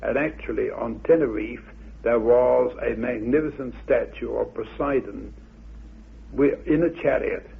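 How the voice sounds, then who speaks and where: A man explaining myth and history in a deliberate, halting, scholarly way on a muffled old radio recording. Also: medium pitch, vocal fry. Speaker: researcher expounding theories about Atlantis.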